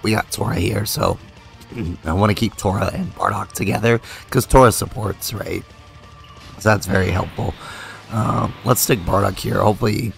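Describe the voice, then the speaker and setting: A young man with a low, gravelly voice talks through a mobile game as he plays it, thinking aloud in a relaxed way. He is slowly losing his voice from being a little sick, and game music plays faintly behind him. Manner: relaxed, thinks aloud with fillers while playing. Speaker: mobile game video creator. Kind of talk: casual gameplay commentary.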